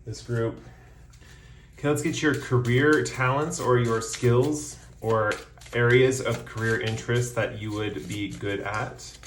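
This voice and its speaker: A man talks through a tarot reading in a loose, meandering way, full of ums, and slows down to name each card. His voice is wearing thin from losing it. He sits at an ordinary middle pitch in a room with a noticeable echo. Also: slight background noise. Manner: relaxed, rambling, frequent ums, slows to announce cards. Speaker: tarot reader. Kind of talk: casual tarot reading to camera.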